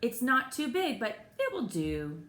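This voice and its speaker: A woman's voice: a little high, sing-song, a little slow, fairly bright tone, voice pushed up. A woman voicing an eager, take-charge young boy playing pretend in a children's storybook, recorded in an echoey room. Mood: excited, urgent. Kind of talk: children's storybook character reading.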